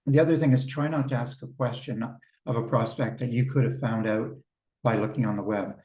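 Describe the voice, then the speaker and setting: A man coaching small business owners on a video call, talking in a relaxed, conversational way and often repeating words as he starts a thought. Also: mid-range voice, fairly quick. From Canada. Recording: roomy sound from a distance.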